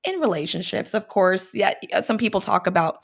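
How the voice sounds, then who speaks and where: An American woman, a comedian guest, talking in casual podcast chat in an animated, self-mocking way. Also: mid-range voice, fairly quick, creaky.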